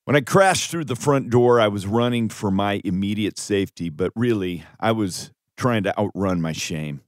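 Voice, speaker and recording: A man with a lowish voice narrates an audiobook in the first person. He reads scripted testimony earnestly, leaning on key phrases with dramatic emphasis. The sound is dry, with no audible room. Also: normal speed, dry recording. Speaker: author reading own audiobook.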